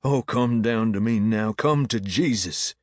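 Guy doing a fired-up old-time revival preacher, big commanding drawn-out oratory, storybook reading, dry sound. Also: lowish voice, dry recording, pitched above the performer's own voice, lightly acted. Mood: urgent, pleading.

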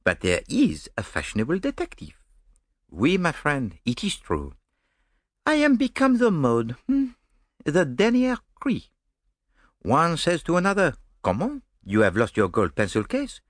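A man's voice: a little high, huge pitch swings, a little slow, dry recording, clean studio sound, voice pushed up, heavily acted. A man voicing a vain, fussy middle-aged Belgian detective with a Belgian French accent and a smug, theatrical manner. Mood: vain lecturing.

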